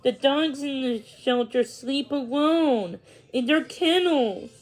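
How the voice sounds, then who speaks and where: A woman voicing a kindly, worried aunt in a children's storybook, gentle and sincere. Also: high-pitched, slow pace, rough recording. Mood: sad. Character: kindly, worried aunt who loves dogs.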